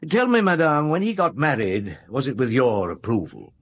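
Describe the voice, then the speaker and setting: A courteous chief inspector in a radio drama. His mid-pitched voice moves expressively, while his manner stays measured, authoritative and probing. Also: expressive, breathy edge. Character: calm, authoritative male police chief inspector, firm but courteous. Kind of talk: British radio drama acting.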